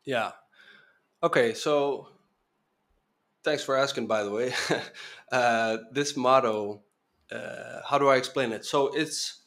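A man's voice: medium pitch, a bit bright. Earnest man talking freely. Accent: slight Venezuelan Spanish tinge. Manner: earnest, restarts often. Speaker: podcast guest. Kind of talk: casual interview talk.

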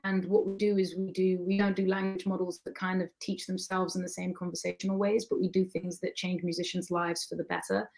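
A hoarse woman in her thirties, a singer-songwriter guest, talking quickly and rambling with many ums from a home studio on a video call. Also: on the low side, flat delivery, darkish tone, low-quality recording. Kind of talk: casual chat on a livestream. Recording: acoustic guitar behind the voice.

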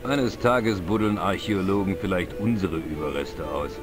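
An actor playing an older, knowledgeable, wry pub regular in an adventure game, explaining things in a dry, measured way. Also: male voice, sits low, natural voice. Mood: patient, wry. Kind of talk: dubbed adventure game dialogue. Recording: music behind the voice. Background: game music.

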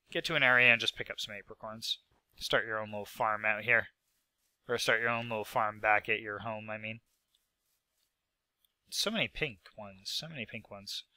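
Young man with a head cold, rambling and self-mocking game commentary, bright smooth voice, dry close mic. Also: lowish voice, no room sound. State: sick with a stuffy nose. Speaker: gaming video host. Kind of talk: casual gameplay commentary.

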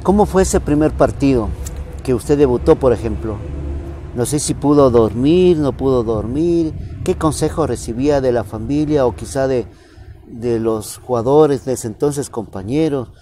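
A middle-aged man asks a sports guest questions and nudges him along with short replies. His voice is mid-pitched and mellow, with a faint outdoor hiss behind it. He is on an open football pitch, picked up by a camera some distance away. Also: normal speed. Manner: prompting, gives short backchannel replies. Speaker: sports interviewer. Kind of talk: interview questions.

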